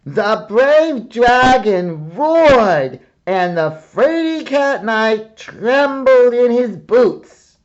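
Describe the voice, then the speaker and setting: Man as a booming, high-pitched boy storyteller. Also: sing-song, very slow, hardly any pauses, muffled recording, exaggerated voice. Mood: bold, dramatic. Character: brave boy dramatically reading an adventure tale aloud.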